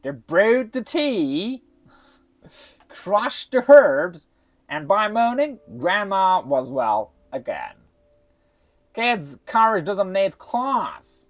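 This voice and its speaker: A woman's voice: mid-range voice, sing-song, extremely slow, highs cut off. A woman storyteller narrating a children's folktale expressively.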